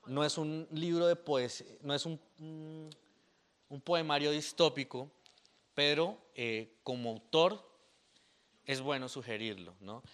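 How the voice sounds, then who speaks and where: A young man talks through his own book at a bookshop gathering. His voice is bright and clear, with a loose, rambling flow full of fillers and self-corrections. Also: medium pitch. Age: young adult. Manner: rambling, animated, with fillers and restarts. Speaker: author presenting his poetry book. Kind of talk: casual talk at a book presentation.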